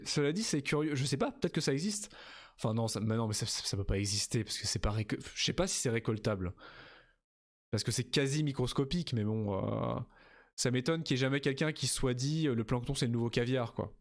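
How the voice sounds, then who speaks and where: A man's voice: mid-range voice, normal speed. A tired young man from Normandy, chatting casually and rambling while streaming a game, reading the chat and the game text aloud. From Normandy, France. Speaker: let's play streamer.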